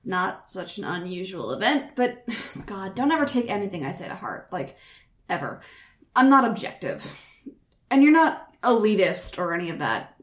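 A woman's voice: medium pitch, very animated, moderate pace, roomy sound, natural voice. A woman voicing a sheepish young man who rambles and mocks himself. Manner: rambling, self-deprecating, fillers.

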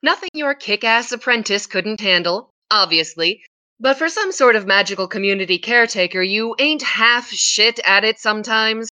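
A woman's voice: medium pitch, animated, moderate pace, pushed and bright, no echo. A woman reading an audiobook-style story, voicing a brash, mocking young female apprentice with a sweary tongue. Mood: cheeky mockery. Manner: berating, mocking, slangy.

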